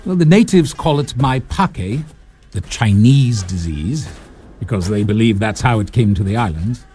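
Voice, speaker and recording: A man's voice: fairly low pitch, expressive, unhurried, mellow. An actor playing a wry English male nurse with a London accent, who now serves as hospital superintendent in a leper colony in an audio drama.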